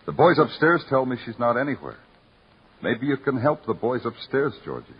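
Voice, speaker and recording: An actor playing a curt, deadpan New York police detective in an old radio crime drama. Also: male voice, medium pitch, normal speed, breathy edge. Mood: pointed, pressing. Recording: scratchy muffled old radio recording.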